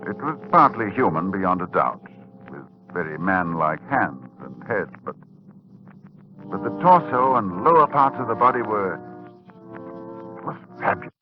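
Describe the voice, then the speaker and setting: A man playing a learned, shaken older professor in 1940s radio horror, speaking in a halting, grave, dramatic way. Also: on the low side, very animated. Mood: grave, appalled. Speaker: narrator and lead actor. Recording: muffled 1940s radio recording with music.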